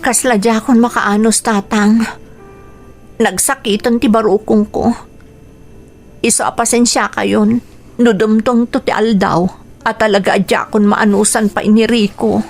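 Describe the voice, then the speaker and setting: A woman's voice: a little high, steady tone, a little slow, pauses often. An actress with an Ilocano accent playing a hurt, weary young wife and mother in a radio drama. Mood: pained, apologetic. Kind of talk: Filipino radio drama acting.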